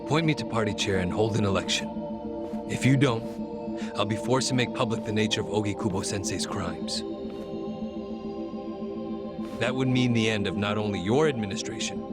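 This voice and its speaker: An actor playing a young, ambitious male politician who talks smoothly and makes veiled threats. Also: on the low side, brisk, loud music behind the voice, lightly acted. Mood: threatening. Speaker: governor seeking party chair.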